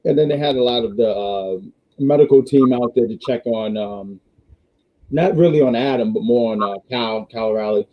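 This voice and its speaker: A man hosting a casual wrestling review stream, rambling with restarts and reading viewer chats, his voice going hoarse. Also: medium pitch, moderate pace, highs cut off. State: losing his voice. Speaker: wrestling review show host.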